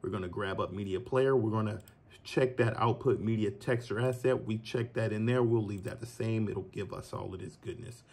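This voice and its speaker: Husky, laid-back man walking through a tutorial. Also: on the low side, moderate pace. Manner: relaxed, chatty, addresses viewers as y'all. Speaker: filmmaker teaching a tutorial. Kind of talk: casual screen-recorded tutorial. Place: green screen studio, then home office. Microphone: phone recording.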